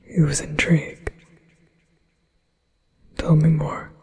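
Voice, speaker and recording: A man voicing a flattering, possessive male demon in a soft, intimate ASMR whisper. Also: on the high side, slow pace. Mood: coaxing.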